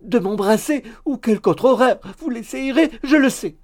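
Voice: mid-range voice, big pitch swings, normal speed, darkish tone, voice pushed up. A reader voicing a coy, prudish spinster maid in a story, theatrically faint and scandalized. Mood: flustered, coy.

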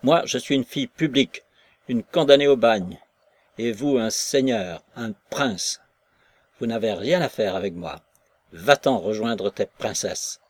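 Guy doing a bitter drunk young woman prisoner, sharp-tongued, loud, dry close sound. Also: on the low side, expressive, moderate pace, no echo. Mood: furious.

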